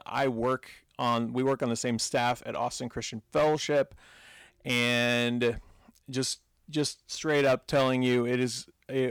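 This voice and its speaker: A man's voice: medium pitch, fairly bright tone, smooth, dry recording. Earnest man co-hosting, dry close sound. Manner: earnest, encouraging. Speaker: podcast co-host. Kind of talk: casual podcast talk.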